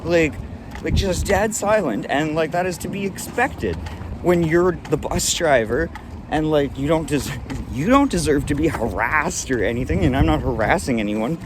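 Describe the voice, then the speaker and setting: A man's voice: fairly high pitch, animated, normal speed. Indignant man rambling at a bus stop. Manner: indignant, rambling, with repeated restarts. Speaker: vlogger. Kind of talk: rambling vlog monologue. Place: outdoors at a city street bus stop. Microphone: handheld camera. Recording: clear street traffic noise. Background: passing road traffic.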